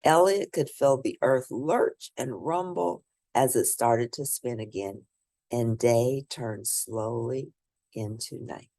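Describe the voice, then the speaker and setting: A woman reading a children's picture book aloud, expressively, with theatrical, drawn-out emphasis for young listeners. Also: extremely low pitch, dark tone, dry recording, clean studio sound. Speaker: storybook reader.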